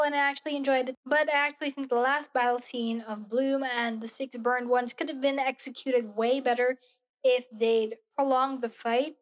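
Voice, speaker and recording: A young woman vlogging in a small bedroom into a close studio mic, talking earnestly about a show with a hoarse voice. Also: high voice. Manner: gushing, earnest, gestures while talking. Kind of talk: casual review vlog. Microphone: studio mic on boom arm, close.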